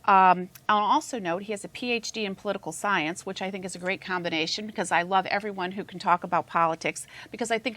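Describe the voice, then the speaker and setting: A woman's voice: fairly low pitch, a bit bright. A woman hosting a public affairs talk show, opening with scripted introductions and asking polite questions. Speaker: host.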